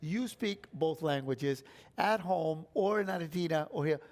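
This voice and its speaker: A man's voice: fairly high pitch, unhurried. Upbeat man hosting a panel. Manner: upbeat, asks questions. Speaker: panel host.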